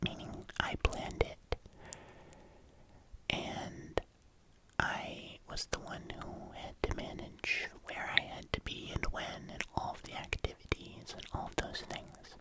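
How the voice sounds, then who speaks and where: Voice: slow, low-quality recording. A young adult ASMR creator whispering a rambling voiceover into a binaural mic, congested, with clicky mouth sounds and many fillers. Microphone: binaural microphone.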